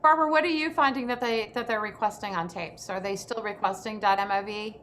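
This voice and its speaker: A woman's voice: mid-range voice, brisk, a bit bright, a little hiss or hum. A woman hosting a workshop, explaining her advice emphatically as a coach in a live online session. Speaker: panel host and acting coach.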